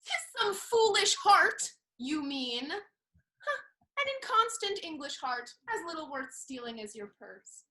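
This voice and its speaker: Woman playing a high, lively, saucy young noblewoman. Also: a little slow, heavily acted. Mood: teasing. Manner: teasing, saucy repartee. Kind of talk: Restoration comedy stage acting.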